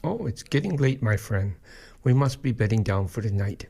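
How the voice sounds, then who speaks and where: Old storyteller, gravelly, husky, folksy, lively pitch, radio drama. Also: male voice, moderate pace, soft background music, full character voice. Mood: eerie, folksy. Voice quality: gravelly, raspy.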